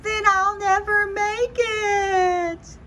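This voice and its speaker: A woman's voice: very high-pitched, fairly even intonation, very slow, bright, forceful tone, a little hiss or hum, voice pushed up, exaggerated voice. A woman voicing an anxious, dramatic little male butterfly in a children's picture book, whiny and theatrical. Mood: panicked, pleading. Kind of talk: animated children's picture-book read-aloud.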